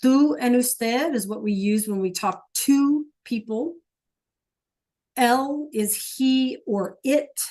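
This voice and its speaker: Slow-paced woman teaching an online class. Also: a little high, dark tone. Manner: patient, checks in with students often. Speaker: Spanish teacher.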